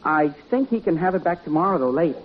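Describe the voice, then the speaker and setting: A man's voice: a little high, expressive, brisk. An actor playing a polite, earnest pickup man in a 1950s radio comedy, mild and matter-of-fact. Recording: dull noisy 1950s radio recording.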